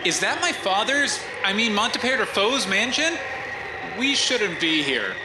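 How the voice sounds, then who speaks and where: A man's voice: high voice, sing-song, measured pace, very noisy. A man playing a vain, flustered, disgraced news anchor, exclamatory and self-absorbed, in a comedy audio drama. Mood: amazed. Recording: music behind the voice.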